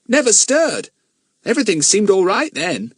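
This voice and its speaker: A man voicing an eager schoolboy who exclaims excitedly. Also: high voice, moderate pace, slightly rough, dry recording, clean studio sound, voice pushed up, full character voice.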